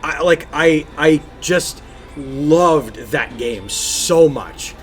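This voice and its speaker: A man's voice: on the high side, lively intonation, very slow, soft background music. A man co-hosting a video game podcast in casual chat, speaking emphatically and effusively and repeating phrases for stress. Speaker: podcast co-host.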